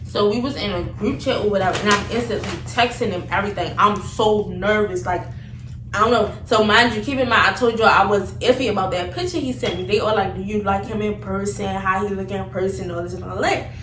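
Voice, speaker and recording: A young woman tells a story in a casual, animated way. Her talk is slangy and loops back on itself as she retells things. She is a little far from the mic in an echoey room, with clear background noise. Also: medium pitch, fairly quick. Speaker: vlogger telling a story. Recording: roomy, distant mic, noticeable background noise.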